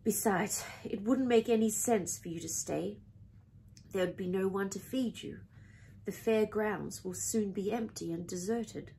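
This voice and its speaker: A husky, weary female spider from a children's storybook, voiced by a woman. She speaks slowly and sensibly, composed and gently firm. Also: medium pitch, exaggerated voice. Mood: sensible, calm.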